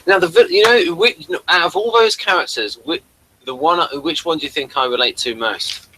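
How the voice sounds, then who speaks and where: Male review host, bright forward voice, halting and rambling, informal chat. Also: fairly high pitch, slight background noise, poor audio.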